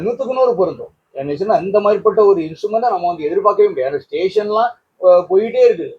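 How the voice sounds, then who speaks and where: A man's voice: sits high, a little mellow. A man giving an informal testimonial as a guest, wordy and often rephrasing himself. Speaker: guest, collector of vintage radios. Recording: dull sound with faint background noise.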